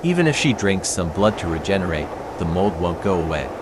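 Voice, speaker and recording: A devil hunter, played by a man in a manga dub. His voice is deep and matter-of-fact. Loud background noise fills the recording. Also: very animated, a little fast. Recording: very noisy track with street ambience.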